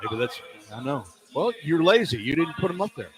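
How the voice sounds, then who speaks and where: A man's voice: a little high, big pitch swings, fairly quick, low-quality recording. A man working as a play-by-play sportscaster, giving live pre-game commentary on sports radio. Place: courtside in a sports arena. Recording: crowd noise of an arena. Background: arena crowd.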